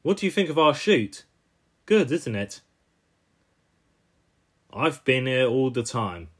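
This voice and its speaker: A man's voice: medium pitch, very animated, takes it slowly, breathy edge, full character voice. A man voicing a proud, knowing small male railway engine in a cartoon style. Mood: self-assured.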